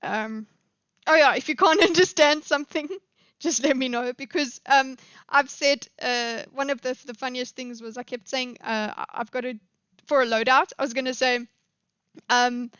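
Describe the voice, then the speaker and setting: A South African woman talks through her live stream commentary in a loose, rambling way, full of fillers and restarts. Her voice sits fairly high and sounds smooth and clear. The recording is dry and slightly dull, like a lo-fi home mic. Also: fairly even intonation, fairly bright tone, no room sound. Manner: rambling, many fillers and restarts, quick laughs.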